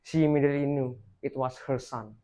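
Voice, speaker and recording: A man's voice: mid-range voice, fairly even intonation, a little fast, mellow. Young man telling a folktale. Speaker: student storyteller. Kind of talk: dramatic rehearsed folktale storytelling.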